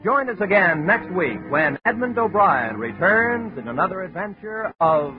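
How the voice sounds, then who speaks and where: A man's voice: high voice, big pitch swings, normal speed. An American man working as a network radio announcer, with polished, emphatic 1950s delivery. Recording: muffled 1950 radio recording, music behind.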